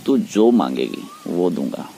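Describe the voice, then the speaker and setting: A man speaking in a private phone conversation, pleading and persuading in a halting, repetitive way. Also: low voice, big pitch swings, vocal fry. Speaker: teacher. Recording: noisy phone call recording.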